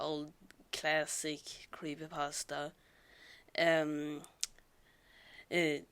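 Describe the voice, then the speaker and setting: A woman's voice: low voice, a bit bright, clear, resonant tone. A woman with a sore throat, talking casually and hesitantly with many fillers before reading a scary story aloud.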